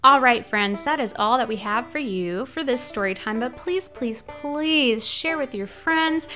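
A young woman librarian reading a picture book aloud to children, sounding out letters playfully in a slow, animated storytime style. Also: fairly high pitch, faint music behind. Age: young adult. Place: small home room, indoors. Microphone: large studio mic on arm in front of her.

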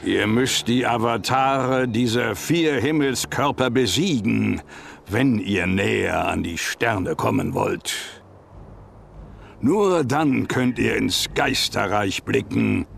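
A man playing a stern, aloof oracle of the mists in a video game, solemn, gruff and disdainful. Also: lowish voice, sing-song, slow pace, fairly bright tone, slight background noise, exaggerated voice. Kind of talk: scripted video game dialogue.

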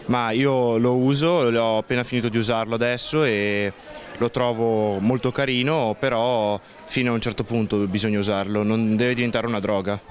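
Young guy on the street, chill, a bit hesitant, normal pitch. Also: normal speed. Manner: relaxed and hesitant, with fillers. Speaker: passerby interviewed. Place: outdoors under a cafe awning. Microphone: handheld microphone.

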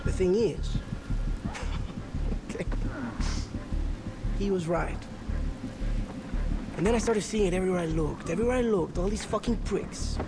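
A man playing an unhinged, sadistic young pirate warlord in a video game, volatile and profane, lurching between hushed menace and screaming. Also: high-pitched, moderate pace, long pauses, poor audio. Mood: menacing, simmering. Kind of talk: video game cutscene acting. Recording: game audio with loud music behind. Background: loud music.

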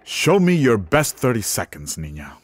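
An actor playing a proud, courtly Cuban violin virtuoso in an audio drama, formal and condescending. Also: male voice, mid-range voice, huge pitch swings, normal speed, no echo, clean studio sound. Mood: proud, measured.

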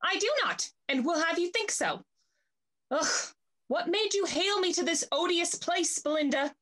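A woman's voice: very high voice, lively intonation, normal speed, a bit bright. A woman playing a proud, jealous society lover bent on revenge, haughty and theatrical, in a period comedy. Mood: indignant. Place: indoor room, video call.

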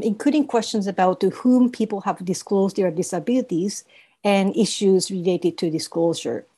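A woman's voice: mid-range voice, darkish tone. Japanese-accented woman presenting research. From East Asia. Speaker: university professor presenting research. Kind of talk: scripted presentation. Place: video call.

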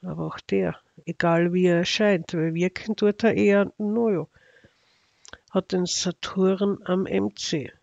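A man's voice: sits high, steady tone, unhurried, gravelly, darkish tone, dry recording, dull audio. A man with an Austrian accent giving casual, unscripted commentary as an astrology video narrator, in a sardonic, ironic manner.

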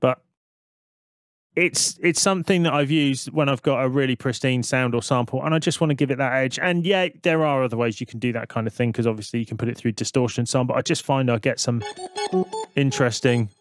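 A man's voice: mid-range voice, a little fast, dry recording, studio quality. A man giving an explanatory music production tutorial, thinking aloud as he talks through the steps. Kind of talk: screen-recorded software tutorial narration.

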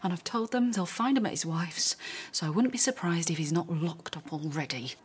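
An actress playing a concerned, blunt friend in a radio drama, hushed and matter-of-fact. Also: female voice, lowish voice, normal speed, husky.

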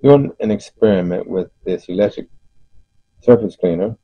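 A man giving an unscripted explanation as host of a DIY video, speaking haltingly with frequent pauses. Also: low-pitched, husky. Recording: muffled, roomy voice-over recording.

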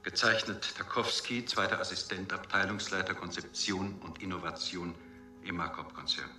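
A male corporate official in a science-fiction radio play. His voice is low and very sharp. He phrases everything with cool, officious precision, in a roomy studio sound. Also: fairly even intonation, moderate pace, faint music behind, heavily acted. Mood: coolly officious. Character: corporate official dictating a coolly formal refusal telex. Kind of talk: formal read-out in a radio drama.

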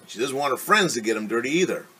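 A husky-voiced man in his forties reads a children's story aloud in a teacher's style, with very varied intonation. He stops to explain words simply and ask questions. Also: medium pitch, animated, sharp tone, poor audio. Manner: explains words slowly, asks rhetorical questions. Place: small room at home.

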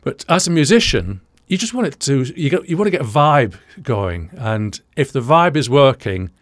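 A British man in his sixties presenting an explanatory audio commentary, deliberate and didactic, repeating key points, close to the microphone in a small studio. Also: mid-range voice, very animated, no room sound. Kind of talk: explanatory reaction commentary to camera. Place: small indoor studio room, dry.

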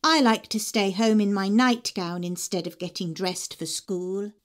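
A woman in her forties reading audiobook excerpts with clear, expressive storytelling. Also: medium pitch, unhurried. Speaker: audiobook narrator. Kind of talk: audiobook narration reel.

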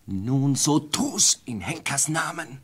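A man playing a cautious, exasperated peasant husband in a fairy-tale radio play, husky and scolding, grudgingly giving in. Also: fairly high pitch, lively intonation, slow pace, slight background noise. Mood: exasperated, urgent.

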